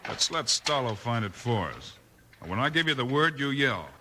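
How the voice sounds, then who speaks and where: A shrewd American western lawman, played by a man, with a mid-pitched voice that moves widely as he gives terse orders. It is old radio drama acting, on a noisy, crackly vintage recording. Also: sing-song, moderate pace, natural voice. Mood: commanding. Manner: terse, commanding, calls people partner. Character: calm, shrewd heroic western lawman in command.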